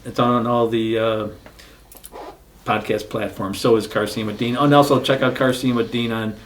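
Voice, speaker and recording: A man's voice: mid-range voice, fairly even intonation, moderate pace, a little hiss or hum. A man in his sixties hosting a casual car podcast, easygoing and rambling, often repeating "yeah". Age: 60s. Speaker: podcast host. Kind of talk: casual chat.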